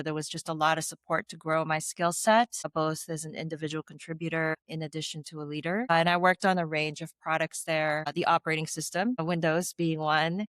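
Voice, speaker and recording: A woman's voice: low-pitched, a bit bright, smooth, no echo, studio quality. A middle-aged woman from the Philippines, a former tech executive giving articulate, reflective interview answers with the odd light laugh.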